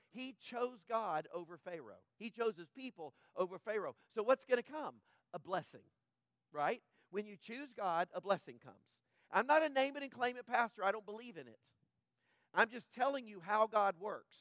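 A man with a high voice preaches a sermon in a conversational way. He acts out the characters' inner thoughts and puts questions to his listeners. The recording is dry and close, with no room echo. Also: lively intonation, normal speed, fairly bright tone. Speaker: pastor.

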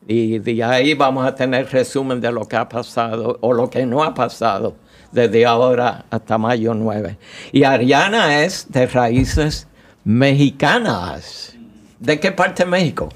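Slow Puerto Rican radio host. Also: male voice, medium pitch. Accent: Puerto Rican Spanish. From Puerto Rico. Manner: slow, halting, many fillers.

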